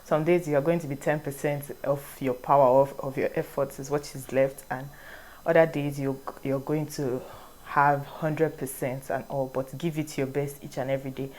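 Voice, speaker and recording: A woman's voice: extremely low pitch, normal speed, a little hiss or hum, poor audio. A young woman from Nigeria with a Nigerian accent, answering viewer questions in a casual vlog, reading each one aloud before she answers it. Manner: reads questions aloud, then answers in a relaxed, rambling way. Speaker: vlogger.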